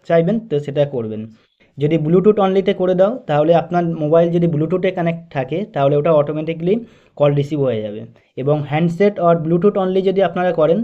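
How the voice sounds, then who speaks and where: A man with a fairly high, very mellow voice, guiding listeners through instructions step by step. He is methodical and repeats his phrasing as he goes. The recording is a slightly dull, lo-fi voice-over. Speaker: tech tutorial host. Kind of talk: step-by-step phone tutorial narration.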